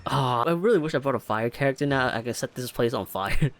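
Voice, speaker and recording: Young male streamer: mid-pitched voice, informal reaction commentary, slangy and conversational. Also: animated, fast talker, a bit bright, slight background noise. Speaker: gaming streamer. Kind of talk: casual let's play reaction commentary.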